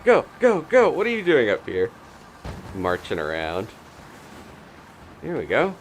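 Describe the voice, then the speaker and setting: A man's voice: mid-range voice, wildly swooping pitch, unhurried, slight background noise. A man giving casual live gameplay commentary, playfully exclaiming and talking to himself as he plays. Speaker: video game streamer and host.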